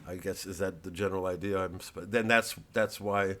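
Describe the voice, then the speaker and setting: A man's voice: low voice, fairly even intonation, moderate pace, background noise. A middle-aged male comedian with a hesitant, sardonic, profane way of talking, rambling through a promotional monologue. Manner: hesitant, sardonic and profane, full of filler and restarts.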